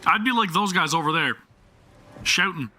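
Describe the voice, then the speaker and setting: A young man talking casually over his gameplay on a live stream, with a very bright, sharp-edged voice pitched a bit high. He rambles, jokes at his own expense and stumbles over restarts. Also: expressive, normal speed. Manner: chatty, self-deprecating, stammering restarts. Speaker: game streamer.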